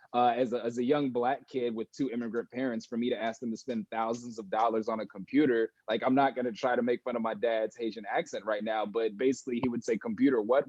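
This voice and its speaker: A young man answering interview questions in a candid, animated, plain-spoken way. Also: medium pitch, dry recording, muffled recording. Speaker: guest, esports industry professional.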